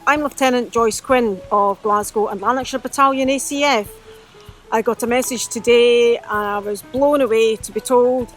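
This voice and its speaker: A woman cadet volunteer records a thank-you message for an award. She sounds excited and chatty. Her voice is a little high, with faint music under it. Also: low-quality recording.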